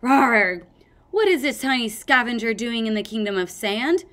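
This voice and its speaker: A woman voicing a sweet, trusting young female dragon who hams it up as a boastful queen, loud and high. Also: lively intonation, normal speed. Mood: fierce. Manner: hammy, playing a boastful queen.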